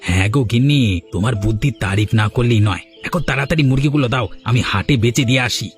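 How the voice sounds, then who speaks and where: A man's voice: medium pitch, fast talker, natural voice. A man voicing a shifty village chicken thief and hawker in a cartoon, with music behind him. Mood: relieved, scheming. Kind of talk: Bengali cartoon voice acting. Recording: music under the voice.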